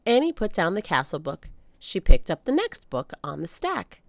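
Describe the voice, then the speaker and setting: A woman reading a children's book aloud as an animated storyteller, with dramatic emphasis. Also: fairly low pitch, moderate pace, creaky, no echo. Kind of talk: expressive read-aloud storytelling.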